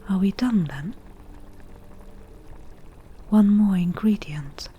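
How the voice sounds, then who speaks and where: A woman voicing a laid-back witch brewing potions, whispering breathily and matter-of-factly in an unhurried ASMR roleplay. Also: medium pitch, warm tone, breathy edge. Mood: calm, explaining. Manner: unhurried, matter-of-fact, casual.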